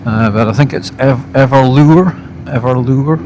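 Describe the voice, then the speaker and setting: A Scottish man giving a casual, unscripted product review as a motorbike vlogger, speaking haltingly in short phrases while riding outdoors. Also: medium pitch. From Scotland. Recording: loud motorbike wind and engine noise.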